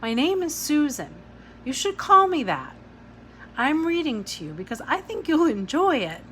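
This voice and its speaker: A woman's voice: high voice, sing-song, moderate pace, fairly bright tone, slight background noise, voice pushed up. A woman reading a storybook part as a firm but caring female guardian, emphatic and reassuring. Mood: gentle insistence.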